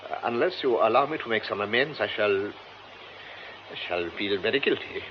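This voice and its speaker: A man playing a stammering formal gentleman in a radio play. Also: fairly low pitch, animated, normal speed, natural voice. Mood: insistent. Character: courteous insistent gentleman with a chauffeur. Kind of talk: radio drama acting. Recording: muffled old radio play with faint hiss.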